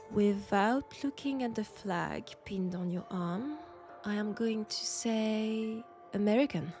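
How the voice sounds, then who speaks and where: A woman's voice: medium pitch, a little mellow. A woman playing a mysterious, flirtatious Frenchwoman in 1940s England, speaking with a French accent in an intimate, coy roleplay audio drama. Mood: playful, friendly. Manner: unhurried, coy, conversational with pauses.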